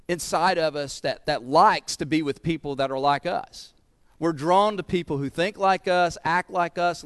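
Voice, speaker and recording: Man, Southern US accent, pastor, sermon, earnest, repeats words, fairly high voice, dry sound. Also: no room sound. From American South. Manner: earnest, repeats words while building points.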